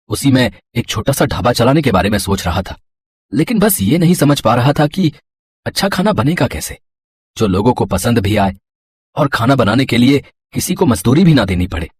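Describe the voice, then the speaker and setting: A man playing a caring village farmer, husband and father, with a husky voice in a cartoon story. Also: medium pitch, no echo.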